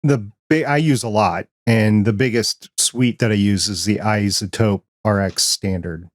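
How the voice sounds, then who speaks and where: A man hosting a podcast interview in a casual, measured way, with a quiet voice and a solid low end, on a broadcast microphone in a home studio. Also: no room sound. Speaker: podcast host. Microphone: dynamic broadcast microphone.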